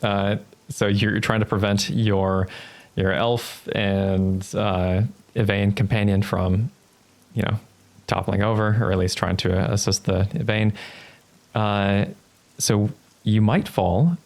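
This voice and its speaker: The deep, crackly man behind the screen at game night, pausing to think as he paints the scene for his players. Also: little pitch movement, gravelly, a little mellow. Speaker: game master. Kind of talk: narrating a tabletop roleplaying game, improvised.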